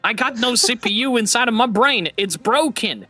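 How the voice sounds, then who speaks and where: A man chatting on a live stream with a bright, forward voice, drifting between thoughts and laughing at his own slips. The sound is dry and close, with faint music underneath. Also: very high voice, lively intonation, normal speed, dry recording. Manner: rambling, laughs often, self-mocking. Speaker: streamer host. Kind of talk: casual chat.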